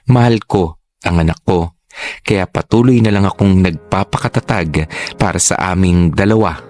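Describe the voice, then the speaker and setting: Casting: male actor as sombre Filipino radio drama narrator, low register, reading heartfelt letters. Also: moderate pace, darkish tone, lightly acted. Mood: sombre, troubled. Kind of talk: scripted first-person radio drama narration.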